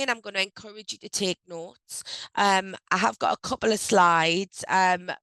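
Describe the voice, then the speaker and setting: A woman hosting an online HR briefing on a video call, speaking loosely with frequent ums and self-corrections, her voice croaky as she recovers from a cold. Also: medium pitch, pushed and bright, dry recording, rough recording. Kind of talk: informal presentation on a video call. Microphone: video call microphone.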